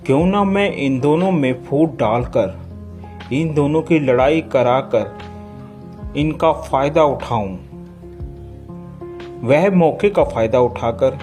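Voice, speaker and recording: A man's voice: medium pitch, a little mellow. A man narrating animal moral stories from a script in a storytelling style. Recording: plucked guitar music behind. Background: plucked guitar music.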